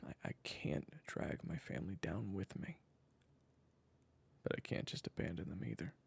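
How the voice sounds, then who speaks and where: A man playing a weary, sardonic assassin with a gravelly voice and halting delivery in an audio drama. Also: low-pitched, full character voice. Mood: anxious, resolute. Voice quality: gravelly, raspy. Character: weary gravel-voiced male assassin desperate to protect his family. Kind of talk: audio roleplay drama acting.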